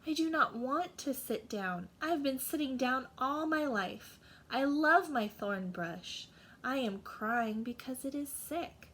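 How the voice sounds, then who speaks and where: A woman's voice: sits high, lively intonation, slow, fairly bright tone, slight background noise, exaggerated voice. A woman voicing a sentimental old lady in a children's storybook, plaintive and emphatic. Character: sentimental old lady devoted to her thorn bush.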